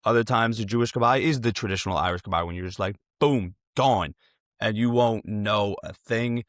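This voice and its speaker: A man's voice: on the low side, moderate pace, no room sound, studio quality. A man hosting a casual interview, talking in a wordy, affirming, conversational way. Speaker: interviewer, podcast host.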